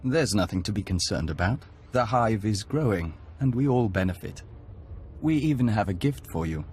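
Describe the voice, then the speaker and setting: An actor playing a courteous, measured hive member who speaks as a collective in a video game. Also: male voice, on the low side, animated, a little mellow, a little hiss or hum, close to an everyday voice. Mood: serene, polite. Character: calm courteous insectoid hive-joiner spokesman, man. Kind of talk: scripted video game acting.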